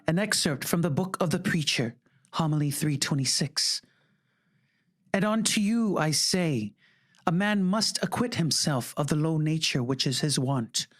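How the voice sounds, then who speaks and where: An announcer giving a formal, measured and solemn scripted reading for an audiobook. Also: mid-range voice.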